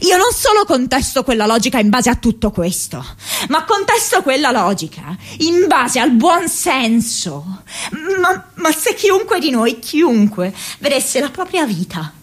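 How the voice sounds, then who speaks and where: Impassioned, halting young woman activist. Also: high-pitched, big pitch swings, breathy edge, exaggerated voice. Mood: indignant, insistent, then anguished, tearful. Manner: impassioned, halting, repeats and breaks off words. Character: earnest, distressed young American woman activist writing to her mother. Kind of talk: dramatic radio monologue from diaries and letters.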